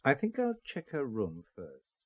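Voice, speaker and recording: An actor playing a wry, flippant husband in a spy thriller audio drama, articulate and nonchalant. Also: male voice, mid-range voice, wildly swooping pitch, a little slow, dry recording, lo-fi sound. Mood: businesslike.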